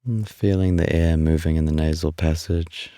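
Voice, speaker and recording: A man leading a guided body scan meditation in a calm, unhurried, soothing way, leaving long pauses between instructions. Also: rumbling low, clean tone, dry recording, clean studio sound.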